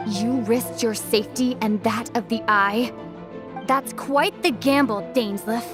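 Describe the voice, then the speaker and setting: Woman as a poised, defiant higher-voiced dark commander. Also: unhurried, music in the background, lightly acted. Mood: cool, suspicious. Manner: composed, formal, confident. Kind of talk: dramatic video game voice acting.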